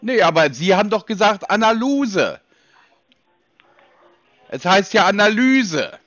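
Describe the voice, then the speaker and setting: A man's voice: high voice, very animated, a little slow, a bit bright, no room sound, faint music behind. A man questioning a caller on the phone in a pedantic, sceptical way, often cutting in. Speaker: customer on a sales call. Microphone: phone call. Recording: dull, lo-fi phone call audio.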